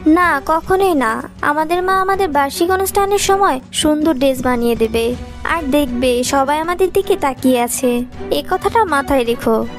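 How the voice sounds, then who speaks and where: A woman's voice: high voice, expressive, normal speed, soft background music. A woman voicing a poor, proud and dutiful young schoolgirl in a cartoon, earnest and polite. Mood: defiant. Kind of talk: cartoon voice acting.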